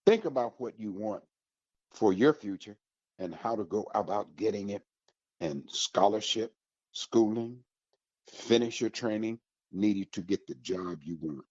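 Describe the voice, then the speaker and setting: An American man hosting an informational radio talk, speaking with emphatic, deliberate, motivational phrasing. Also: lively intonation, darkish tone. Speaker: radio host. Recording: muffled, roomy, echoey low-fidelity sound.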